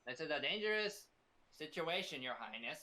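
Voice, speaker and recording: A bright-voiced man reads dramatic lines in an amateur way. His pitch swings in a lively manner and sits a little high, and he stumbles and slips out of character. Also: moderate pace. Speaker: amateur reader of a film script part. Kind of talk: amateur dramatic line reading.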